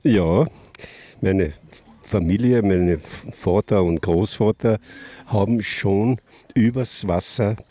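An older man from Austria with an Austrian accent, reminiscing in an interview and haltingly searching for words. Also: lowish voice, warm tone, dry recording. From Austria (Carinthia). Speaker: interview guest, boat rental operator. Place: lakeshore, outdoors.

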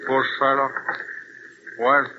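An actor as a slow, matter-of-fact soldier. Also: male voice, mid-range voice, monotone, lightly acted. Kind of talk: 1940s radio acting. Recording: dull old radio recording, noisy.

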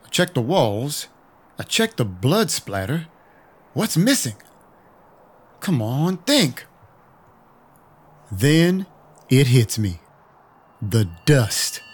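An actor playing a cynical, violent vampire detective, narrating a noir audio drama with wry menace. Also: male voice, medium pitch. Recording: music behind the voice. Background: music.

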